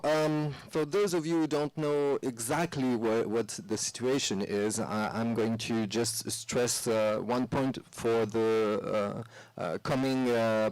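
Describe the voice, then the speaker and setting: Male moderator, mid pitch, hesitant delivery, dry close sound. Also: no echo, low-quality recording. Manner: hesitant, filled with uh and restarts. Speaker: moderator of the seminar. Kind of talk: moderating a seminar. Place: press club seminar room.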